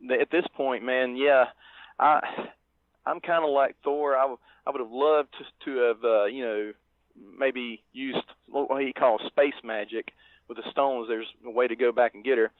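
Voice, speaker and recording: A man's voice: mid-range voice, fairly even intonation, normal speed, dry recording. Man on a thin, phone-like line. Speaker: podcast co-host. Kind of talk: casual film discussion.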